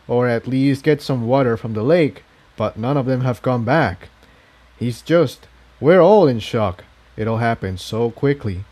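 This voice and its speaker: A man's voice: medium pitch, darkish tone, close to an everyday voice. A man voicing a mild, sorrowful male pony who explains things in a conciliatory way in a story reading.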